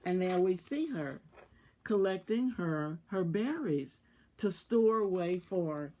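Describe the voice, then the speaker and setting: A woman reading a picture book aloud for children in a measured way, pausing to address young listeners. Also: on the low side, animated, mellow. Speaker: volunteer children's story reader.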